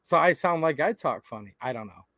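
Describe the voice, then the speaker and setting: A man's voice: on the high side, animated, a little fast, a bit bright, no room sound. A man hosting a live stream, chatting casually and passionately with viewers and reading out their comments. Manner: passionate and folksy, talks straight to viewers. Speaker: livestream host. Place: home recording studio.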